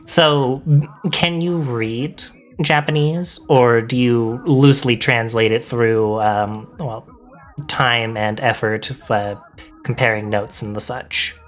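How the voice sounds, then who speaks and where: A man's voice: medium pitch, steady tone, fairly bright tone, soft background music. A man hosting a casual livestream interview, asking questions in an encouraging, good-humoured manner. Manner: encouraging, quick to laugh. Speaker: interviewer, stream host.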